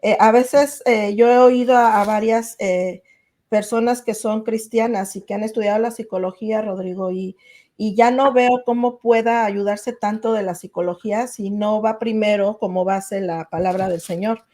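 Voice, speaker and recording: Mellow-voiced woman hosting a faith podcast. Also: mid-range voice, fairly even intonation, moderate pace. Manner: warm, effusive, grateful, with many "eh" fillers. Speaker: podcast host. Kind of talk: casual interview chat.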